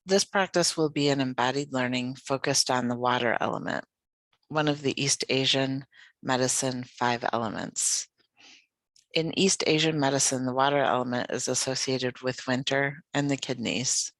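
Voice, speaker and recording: A yoga nidra guide leading a guided meditation in a gentle, soothing, unhurried manner. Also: medium pitch, fairly even intonation, no room sound.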